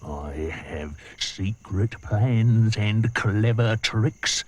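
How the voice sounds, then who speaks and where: A man voicing a greedy, scheming male crocodile in a storybook reading, slow and gloating, sometimes dropping to a whisper. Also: on the low side, a little mellow. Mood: sly gloating. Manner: gloating, sinister.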